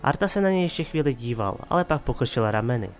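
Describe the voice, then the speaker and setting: A man's voice: mid-range voice, animated. A man giving scripted dramatic narration of a fantasy story as the narrator of an audio drama. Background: music.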